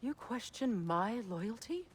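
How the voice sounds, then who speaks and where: A woman's voice: fairly high pitch, big pitch swings, slow pace, slightly rough, heavily acted. An actress playing a proud, wounded, resolute goddess and exiled queen in a video game, with solemn, emphatic delivery. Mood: angry, accusing. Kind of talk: dramatic video game acting.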